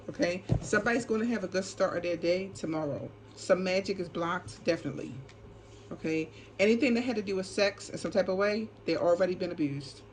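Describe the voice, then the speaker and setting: A woman's voice: on the low side, a little mellow. A woman giving an unscripted, casual spiritual card reading, speaking emphatically and repetitively with frequent short check-in tags. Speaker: tarot reader and channel host. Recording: clearly noisy ambient background.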